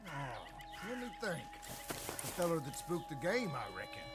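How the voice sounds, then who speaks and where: A wry, grumbling gang henchman in a western game. The voice sits a little high, with music clearly behind it. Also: huge pitch swings, unhurried. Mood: sarcastic. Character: sardonic, grumbling male outlaw gang henchman. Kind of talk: video game cinematic acting.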